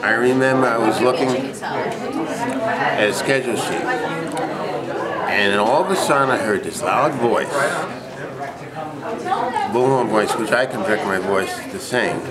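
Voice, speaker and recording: Halting man amid loud crowd noise. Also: mid-range voice. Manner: halting, rambling, repeats himself. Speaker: interview guest. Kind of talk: personal storytelling in an interview. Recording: loud crowd din in a public space.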